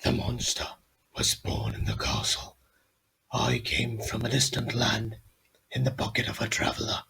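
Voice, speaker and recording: A man playing an ancient giant spider in an audio drama, grave and menacing, with a gravelly, creaky voice. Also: medium pitch, monotone, unhurried, bright, forceful tone, a little hiss or hum, low-quality recording. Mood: grave, guarded. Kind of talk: fan audio drama acting.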